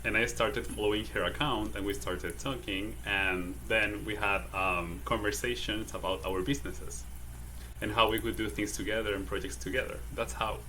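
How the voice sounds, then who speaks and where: Male wellness coach with a Chilean accent, English not his first language, bright clear timbre, a slight hiss under the voice. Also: on the low side, fairly even intonation, moderate pace, low-quality recording. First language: Spanish. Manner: hesitant, says "okay?" often. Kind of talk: casual livestream interview.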